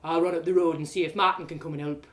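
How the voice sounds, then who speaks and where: A woman's voice: low-pitched, fairly even intonation, quick pace, a bit bright. A woman voicing a smug, teasing older brother, a rustic farm lad in a storybook tale. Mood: mocking. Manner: mocking, rustic phrasing. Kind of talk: storybook character voice.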